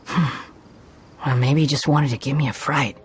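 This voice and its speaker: An arrogant young male game villain in a low register for a woman. He is scornful and condescending, with theatrical, mocking delivery over faint music. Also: steady tone, moderate pace. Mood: irritated. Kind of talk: scripted video game voice acting. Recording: music behind.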